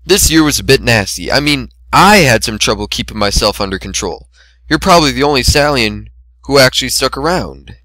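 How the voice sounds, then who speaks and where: A man's voice: medium pitch, expressive, fairly quick, sharp tone, dry recording, poor audio. A man voicing a brash young female flying pony in a story, with a breezy, teasing manner. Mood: casual, sheepish.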